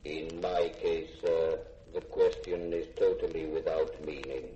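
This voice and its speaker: A literal-minded robot servant in 1950s radio drama. The delivery is very slow, measured and impassive, with a formal politeness. The sound is echoey and dull, with some hiss of an old recording. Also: male voice, very deep voice, level tone, dark tone.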